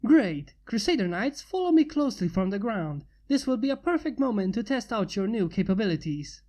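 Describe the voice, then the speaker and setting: A composed male inventor with stiff, exact phrasing, voiced by a woman reading a story. The tone is mellow and level, never rushed. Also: mid-range voice, very animated. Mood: formal. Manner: stiff, precise, formal. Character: formal calm male human inventor.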